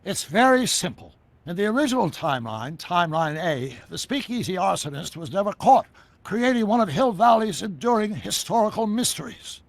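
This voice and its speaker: An actor playing an eccentric older American scientist in a video game, explaining things in a wordy, theatrical way with a gravelly voice. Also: male voice, high voice, very animated, moderate pace, no echo, heavily acted. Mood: brisk lecturing. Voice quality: gravelly, husky. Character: eccentric older male scientist inventor, excitable and secretive. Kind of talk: scripted video game dialogue.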